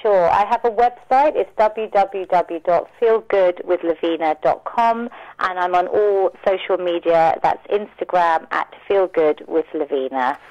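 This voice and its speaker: Woman wellness coach on radio, explainy and encouraging, lowish pitch, close dry sound, bit lo-fi. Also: measured pace, a little mellow, dry recording. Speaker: wellness coach and personal trainer, radio guest. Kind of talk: informative radio interview answers.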